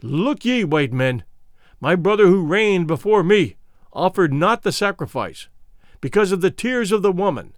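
A man's voice: sits high, no room sound, clean studio sound, exaggerated voice. A man playing a cruel king who makes grave, commanding pronouncements in archaic speech. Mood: cold finality.

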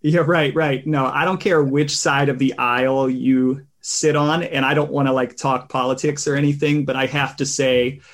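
A man hosting a podcast in relaxed, rambling casual chat, with a tenor voice and many fillers. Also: medium pitch, normal speed, few pauses. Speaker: podcast host.

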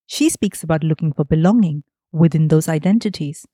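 A woman hosting a podcast, reading a scripted intro and then interviewing her guest in an earnest, measured way. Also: sits low, mellow, dry recording, studio quality. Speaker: podcast host.

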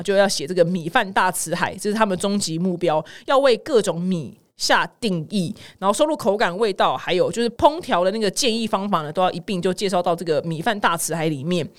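A woman with a Taiwanese Mandarin accent hosting a casual news podcast, exclamatory and dramatic, wandering into personal asides and mixing in English words. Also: medium pitch. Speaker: podcast host.

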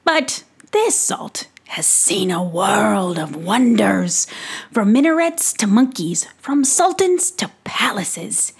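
A woman voicing a jolly, showy male pixie peddler who drags out his salesman patter in a booming voice. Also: creaky, husky, full character voice.

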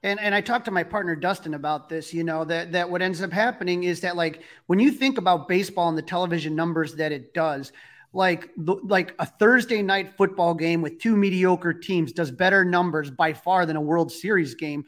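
Casting: male sports podcast host, high voice, chatty and conversational. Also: moderate pace, fairly bright tone. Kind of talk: casual interview talk.

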